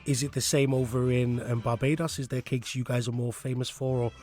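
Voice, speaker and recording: A man's voice: mid-range voice, level tone, brisk, a little mellow, soft background music. A man asking questions casually as a radio interviewer.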